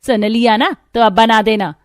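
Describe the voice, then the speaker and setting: Harsh older mother-in-law played by a woman, bossy, scornful, mid-pitched, cartoon drama, faint music. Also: a little fast, natural voice. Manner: scolding, bossy. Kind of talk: cartoon story dialogue.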